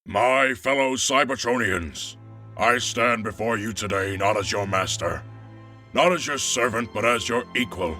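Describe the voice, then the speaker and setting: An actor playing a noble, weary robot leader with a measured, grave and formal delivery. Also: male voice, medium pitch, pushed and bright, far from the mic, soft background music. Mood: solemn, stirring. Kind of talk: scripted narration and solemn oratory.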